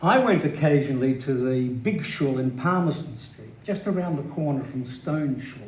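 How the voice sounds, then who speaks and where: A man's voice: medium pitch, lively intonation, moderate pace, darkish tone. A man giving a dramatic reading of a scripted reminiscence at a live event. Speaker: reader at a literary stage event. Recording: echoing, dull, noisy live room recording.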